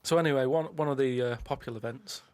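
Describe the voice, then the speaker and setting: A man chats quickly as he tells a meandering tale to friends. He is matter-of-fact and vague about details, with faint noise behind him. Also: medium pitch, a little mellow. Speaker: podcast co-host and storyteller. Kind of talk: casual anecdote telling among friends.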